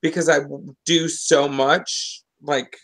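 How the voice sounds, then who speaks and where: A woman's voice: low-pitched, fairly even intonation, slow pace, gravelly. A woman in her forties talking casually on a video call from her living room, rambling and interrupting herself. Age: 40s. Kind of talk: casual chat. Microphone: video call webcam.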